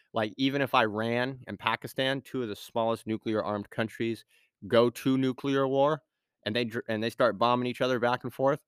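A man's voice: mid-range voice, a bit bright, smooth, no room sound. A man hosting his own podcast in a studio, answering questions in a casual, rambling way, his voice scratchy from a sinus infection. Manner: casual, rambling, with frequent fillers and restarts. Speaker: podcast host. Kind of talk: solo podcast Q&A.

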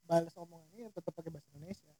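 A man's voice: fairly high pitch, fairly even intonation, racing, dark tone, rough recording. A young man in a casual chat who gives short, plain answers.

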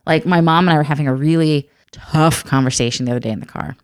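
A woman's voice: deep voice, fast, clean tone, no room sound, clean studio sound. A woman in her thirties, an adoptive mother, talking earnestly and reflectively in a candid conversation. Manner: earnest, reflective, soft-spoken.

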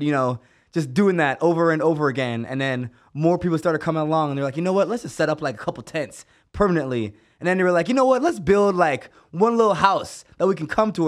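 A man hosting a casual podcast, enthusiastic and animated as he tells stories. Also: on the high side. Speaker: podcast host.

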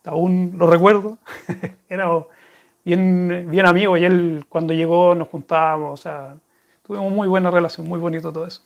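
A man's voice: sits high, steady tone, normal speed, vocal fry, dull audio. A man with a Chilean accent, chatting casually to viewers and answering their questions in a rambling, friendly way. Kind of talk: casual chat to camera.

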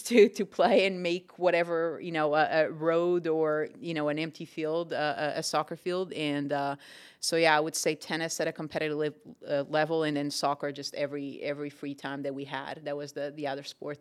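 A young woman from Portugal speaks, answering a podcast host's questions about her tennis life. Her voice is smooth and clear, her intonation fairly level, and she pauses often with ums as she gathers her thoughts. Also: low-pitched, little pitch movement. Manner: thoughtful, many ums and restarts. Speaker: tennis coach. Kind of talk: casual interview answers.

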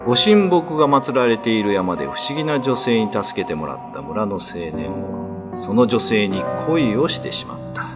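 A man narrating a scripted ghost story in low, grave tones. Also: sing-song, moderate pace. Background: music.

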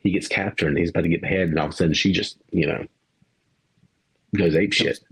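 A man's voice: sits low, fairly even intonation, fast, husky, poor audio. A man co-hosting a podcast, rambling through a movie review in casual chat, laughing and swearing now and then. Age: adult parent. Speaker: podcast co-host.

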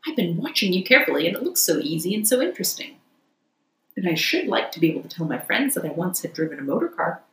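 A woman's voice: fairly low pitch, sing-song, fast talker, a bit bright, roomy sound, rough recording. A woman voicing a boastful, scheming toad from a storybook in a theatrical, self-admiring manner. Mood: meek, wheedling. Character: boastful, reckless toad posing as a meek washerwoman.

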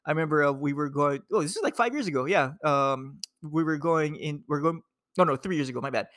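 A man's voice: on the high side, a little fast, fairly bright tone, no room sound, studio quality. A young man from Hawaii, a nutrition coach, talking casually to viewers in a laid-back, rambling way full of fillers and "dude".

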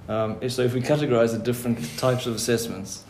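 A man's voice: medium pitch, fairly even intonation, fast, a little mellow. A man working as a teacher, casually interviewing a group of students with informal questions. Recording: roomy echo, faint background noise.

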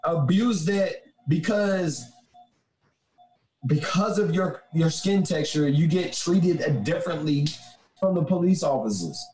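A man in a casual debate chat, arguing his points slowly and emphatically. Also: on the high side, animated, darkish tone. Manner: argumentative, slow and emphatic when making a point. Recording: roomy echo.

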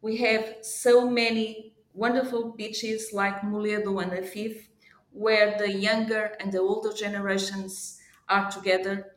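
A woman's voice: mid-range voice, level tone, measured pace. Portuguese-accented woman in an echoey room. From Portugal, born in Africa. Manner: careful phrasing, searching for words. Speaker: interview guest. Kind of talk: promotional interview answer.

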